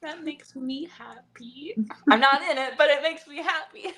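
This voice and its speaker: A woman from the United States hosting a casual podcast chat, quick to react and agree. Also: high voice, a bit bright. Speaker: podcast host.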